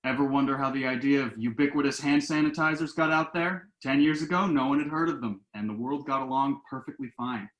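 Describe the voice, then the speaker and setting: A man's voice: mid-range voice, fairly quick, few pauses, fairly bright tone, close to an everyday voice. Male actor as hopeful grown son, earnest, explanatory, mid-range voice, echoey room sound. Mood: salesmanlike. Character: earnest, optimistic grown son pitching his schemes. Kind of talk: virtual table read of a stage comedy-drama. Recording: roomy, echoey home recording.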